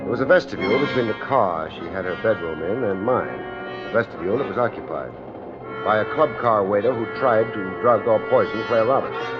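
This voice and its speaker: An American actor playing a wry, unflappable private detective in a 1950s radio drama, with deadpan, wisecracking delivery. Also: male voice, lowish voice, brisk, natural voice. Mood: wry narration. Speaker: lead actor and narrator. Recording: muffled 1950s radio recording with music.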